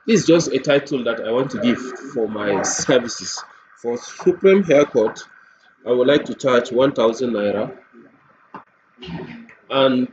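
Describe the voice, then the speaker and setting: A man giving an unscripted screen-share software tutorial, explaining step by step and repeating points for emphasis. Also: medium pitch. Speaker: software demo presenter. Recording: muffled lo-fi audio, clear background noise.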